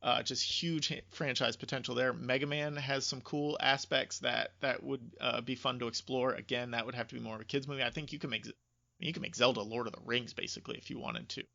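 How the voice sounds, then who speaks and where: A man's voice: mid-range voice, fairly even intonation, fairly quick, vocal fry, sharp tone, highs cut off. A man chatting casually and emphatically as a podcast co-host, explaining his opinions.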